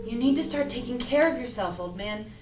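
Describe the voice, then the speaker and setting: A woman's voice: a little high, animated, fairly quick, close to an everyday voice. A woman acting a feisty, sarcastic and protective young woman in an audio drama read-through, with an exasperated, bossy manner. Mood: weary, caring, wry. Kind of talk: acted reading of an audio drama script. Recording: roomy, distant mic, music underneath.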